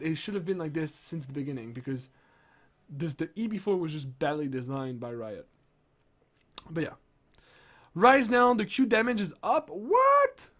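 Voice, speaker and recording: A young man with a sick, strained voice, rambling excitedly through game patch notes with lots of restarts. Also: high voice. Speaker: gaming streamer. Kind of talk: casual patch-notes commentary.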